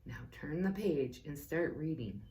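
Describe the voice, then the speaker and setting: A woman reading a book aloud to children as a teacher, slow and deliberate, with playful asides to her listeners. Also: low-pitched, animated, mellow, a little hiss or hum. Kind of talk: read-aloud book lesson.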